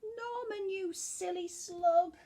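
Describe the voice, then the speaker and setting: Woman voicing shrill, excitable storybook snails. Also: slow, heavily acted. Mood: scolding. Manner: shrill, exclamatory. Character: excitable snails, scolding and easily panicked storybook creatures.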